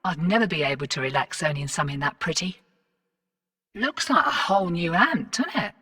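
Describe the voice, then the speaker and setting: A woman's voice: lowish voice, expressive, normal speed, pushed and bright, slightly rough, big-room sound, full character voice. An actress voicing a cocky female rogue fortune hunter and arms dealer in a video game, cheeky, slangy and sardonic. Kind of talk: video game character voice lines.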